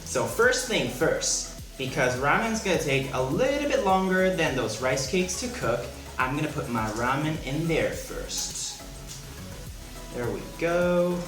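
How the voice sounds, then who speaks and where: A man's voice: on the high side, big pitch swings, a little slow. A young man from Hong Kong chatting playfully while he cooks in his small apartment. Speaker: vlogger, home cook. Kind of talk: casual cooking vlog chat. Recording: echoey room with music behind.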